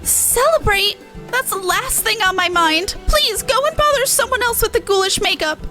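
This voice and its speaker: A woman's voice: very high-pitched, animated, moderate pace, sharp tone, soft background music, voice pushed up, full character voice. A woman voicing an anxious young female botanist from a video game, sounding flustered and wary. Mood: distressed, curt. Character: anxious young lady botanist, a farm worker.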